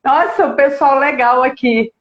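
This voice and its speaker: A high-pitched woman from Minas Gerais, Brazil, talks as a guest in a live stream. She is chatty and friendly, stopping to greet viewers by name. Her voice comes over a video call that sounds roomy and echoey, with a dull, muffled tone. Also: moderate pace. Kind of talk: casual live-stream chat.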